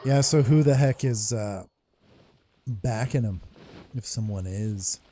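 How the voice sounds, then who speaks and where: A man's voice: fairly low pitch, takes it slowly, dark tone, slight background noise. A man casually commenting on a game playthrough and reading its text aloud in an offhand way. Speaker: gaming video host. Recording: faint game music behind voice. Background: game music.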